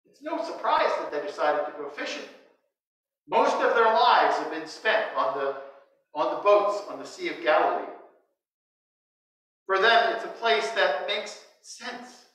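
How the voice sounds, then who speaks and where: In a very echoey church, picked up from a distance, an older American man in his sixties delivers a sermon. His voice is high for a man, and he moves through short, measured phrases with pauses between them. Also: very animated, a bit bright. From United States. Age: 60s. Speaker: priest. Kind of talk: sermon read from notes. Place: inside a church, standing before the altar. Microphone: distant microphone, speaking through a face mask.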